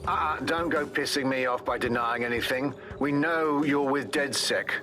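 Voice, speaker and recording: A man's voice: medium pitch, normal speed, music in the background, lightly acted. A man playing a threatening, gloating private-army interrogator in a video game, taunting as he goes. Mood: menacing. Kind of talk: dramatic game cutscene acting.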